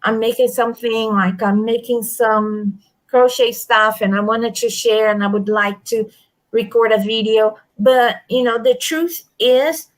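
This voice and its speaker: A high-pitched woman chats with emphatic repetition and frequent restarts. Her accent comes from a stroke and gives her speech a halting, uneven quality. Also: steady tone. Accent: non-native, from a stroke. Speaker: livestream guest. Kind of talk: casual chat.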